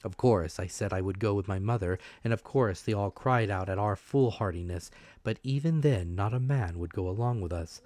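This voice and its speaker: Male audiobook narrator, lower-pitched, measured and expressive dramatic storytelling, very dry recording. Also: no echo. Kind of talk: dramatic scripted narration of a classic adventure story.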